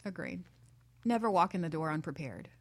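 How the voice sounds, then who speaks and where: A woman's voice: lowish voice, lively intonation, brisk. A woman guest on a talk show, chatting casually and chiming in with informal, emphatic remarks. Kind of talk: casual chat.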